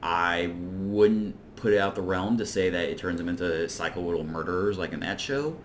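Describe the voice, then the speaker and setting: A man's voice: low voice, moderate pace, a little hiss or hum. A man hosting an informal video essay, recapping and commenting in a rambling, conversational way. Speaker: video reviewer and host.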